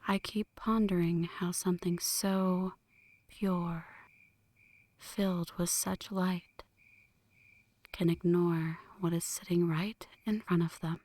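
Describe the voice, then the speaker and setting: Woman as a slow, whispering, seductive vampire woman. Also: on the low side, fairly even intonation, dry recording. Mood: teasing, seductive. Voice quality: hushed, breathy whispering. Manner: slow, teasing, poetic. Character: flirty, brooding female vampire, seductive and melancholic. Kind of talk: ASMR roleplay, scripted dramatic monologue.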